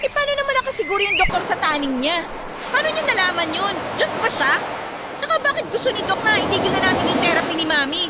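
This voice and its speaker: A woman's voice: very high voice, very animated, fast, over a noisy crowd, heavy background noise. A woman acting as a devoted, stubborn younger daughter in a Tagalog family radio drama, speaking expressively over music. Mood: defiant, upset.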